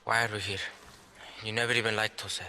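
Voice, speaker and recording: An actor playing a dictator's sullen, questioning teenage son in a video game, terse and challenging. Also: male voice, on the low side, flat delivery, a little fast, pushed and bright, long reverb tail. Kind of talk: video game cutscene acting.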